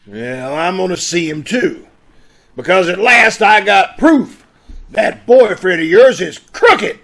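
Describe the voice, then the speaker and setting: A man playing a gruff, suspicious police inspector in an old-time radio drama, sardonic and accusing, over a video call. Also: sits high, very animated, slow pace, fairly bright tone, exaggerated voice. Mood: triumphant, accusing. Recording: faintly noisy video call recording.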